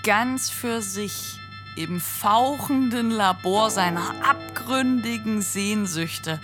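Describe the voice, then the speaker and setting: A woman's voice: medium pitch, slow pace, music in the background, lightly acted. A woman narrating a poetic radio play as a scripted storyteller.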